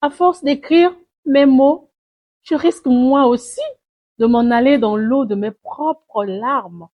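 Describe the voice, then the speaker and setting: A woman recites a poem dramatically, in a solemn, mournful and deliberate way, swelling and hushing her phrases. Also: high-pitched, muffled recording. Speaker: poetry reciter. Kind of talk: dramatic spoken-word poetry recitation.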